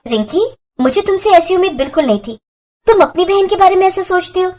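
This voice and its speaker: A woman's voice: very high voice, expressive, a little fast, dark tone, slight background noise, pitched above the performer's own voice. A woman voicing a kind, sensible young sister-in-law who keeps the peace in a moral story. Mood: earnest reproach. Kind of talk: Hindi moral story voice acting.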